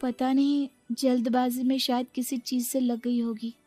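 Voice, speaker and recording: A woman's voice: sits high, level tone, normal speed, mellow, faint music behind. A woman voicing a meek, affectionate young daughter-in-law in a moral story cartoon, pleading and deferential. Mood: earnest, tender.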